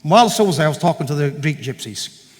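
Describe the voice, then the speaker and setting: A man's voice: fairly high pitch, lively intonation, fast talker. Man preaching in a reverberant hall. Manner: conversational, digressive, with quoted dialogue. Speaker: guest speaker at a church meeting. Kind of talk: storytelling talk to a congregation. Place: large church hall. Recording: long reverb of a large hall.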